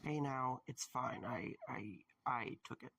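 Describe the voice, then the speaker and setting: A man playing a weary, patient young night guard in an audio drama, gravelly and a little hesitant. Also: medium pitch, measured pace, pushed and bright. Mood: placating. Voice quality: gravelly, husky.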